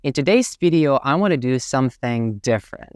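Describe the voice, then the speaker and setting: A man's voice: mid-range voice, expressive, brisk, a little mellow, smooth, no echo, clean studio sound. A young man from Tatarstan with a Russian accent, hosting his channel and talking thoughtfully about learning English, with many fillers. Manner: thoughtful, with many fillers like "you know" and "right". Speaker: English teacher, channel host. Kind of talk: reflective talk to camera.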